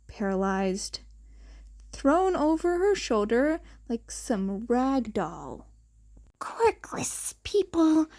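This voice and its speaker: A woman narrates a story from a script in a fairly high voice. She reads at a slow, measured pace, and her tone is soft-edged and mellow. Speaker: fan fiction narrator.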